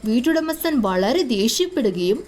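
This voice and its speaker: A woman's voice: on the high side, very animated, normal speed, a little mellow. A woman giving scripted narration for a children's moral story.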